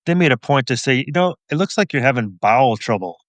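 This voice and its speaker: A middle-aged man with a medium-pitched voice speaks as a guest in a casual interview. He is thoughtful and wry, given to gentle self-mockery. The recording is dry and free of room sound. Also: expressive, fast, dry recording. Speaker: podcast guest, police officer and author.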